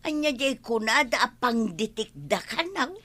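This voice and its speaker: Bossy, sharp-voiced village wife. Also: female voice, on the high side, animated, moderate pace. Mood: curious, nosy. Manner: bossy, insistent. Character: pushy, scheming village wife chasing easy riches. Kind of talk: radio comedy drama acting.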